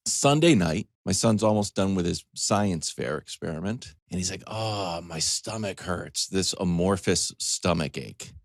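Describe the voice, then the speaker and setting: A man in his forties, a sports talk host, telling a comedic story in a casual, animated way with choppy asides and acted-out quotes. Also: sits low, measured pace, vocal fry, hardly any pauses, no echo, studio quality. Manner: animated, acts out quotes in dialogue.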